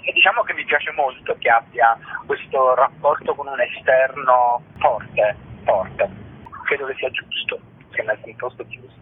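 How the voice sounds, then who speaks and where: A husky-voiced man gives a spontaneous radio interview over a muffled, lo-fi phone line. His pitch is middling, and he talks reflectively, pausing to search for words. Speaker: guest, singer and stage performer.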